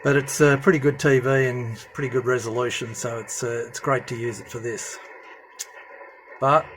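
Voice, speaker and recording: A man with a mid-pitched voice presents an informal, hands-on demonstration. He speaks haltingly, pausing often as he works. The handheld indoor recording carries some faint noise. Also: fairly even intonation, fairly bright tone, low-quality recording. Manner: hesitant, halting delivery with many pauses. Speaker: amateur CB radio hobbyist presenter. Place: indoor room at home with radio gear.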